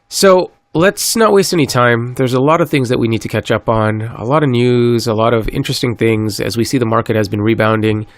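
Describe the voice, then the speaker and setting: A man hosting a solo podcast, a little stuffy from a cold, talking in an earnest, upbeat sales-pitch way. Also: steady tone, a little fast, hardly any pauses, dry recording. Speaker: podcast host. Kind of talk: solo crypto podcast monologue.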